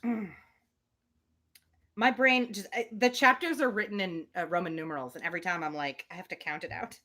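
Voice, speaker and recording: A woman's voice: on the low side, fairly even intonation, fairly quick, sharp tone. A woman reading a classic novel aloud on a livestream, slipping into chatty asides to her listeners.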